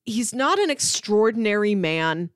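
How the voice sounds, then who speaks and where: Female podcast co-host, mid-pitched, very dry sound, casual but articulate film talk. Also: very animated, unhurried, dry recording.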